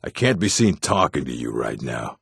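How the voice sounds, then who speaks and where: Gruff game orc, rough creaky growl, swinging intonation, curt and weary. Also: male voice, a bass voice, sing-song, normal speed, fairly bright tone, no echo, studio quality, exaggerated voice. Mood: weary, guarded. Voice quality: gravelly, husky. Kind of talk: video game character acting.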